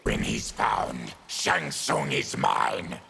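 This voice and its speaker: An actor playing a fierce, proud, embittered mutant warrior in a fighting game, growling and deliberate. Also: male voice, extremely low pitch, expressive, pushed and bright, heavily acted. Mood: menacing. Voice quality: gravelly, growling. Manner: menacing, deliberate. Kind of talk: fighting game character dialogue.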